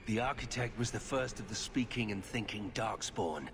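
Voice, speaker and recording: An actor playing a grave, guarded veteran warrior in a fantasy video game, speaking in a measured, formal way. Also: male voice, on the low side, lightly acted. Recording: echoing reverb with background noise.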